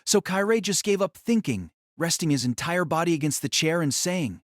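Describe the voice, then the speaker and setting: A man narrates a novel in a dramatic, scripted manner. His voice is a little high and moves widely in pitch. The sound is dry, with no room around it. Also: lively intonation, normal speed, no room sound.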